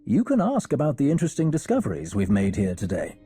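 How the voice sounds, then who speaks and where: A man voicing a composed, learned scholar in a game, soft and slightly husky, with polite, measured formality. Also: medium pitch, huge pitch swings. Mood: thoughtful. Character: courteous, learned male scholar of ancient relics, composed. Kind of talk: video game cutscene acting.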